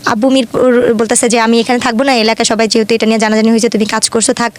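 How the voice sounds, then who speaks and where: A woman's voice: a little high, little pitch movement, racing. A woman in her twenties from Dhaka with a Bangladeshi accent, telling her life story in an earnest, colloquial way as an interview guest. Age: 20s.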